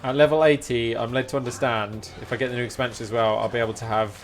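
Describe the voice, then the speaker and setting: A man's voice: mid-range voice, fairly even intonation, quick pace. A man streaming a game, chatting informally with rambling explanations. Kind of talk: casual live-stream chat while gaming. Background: game music.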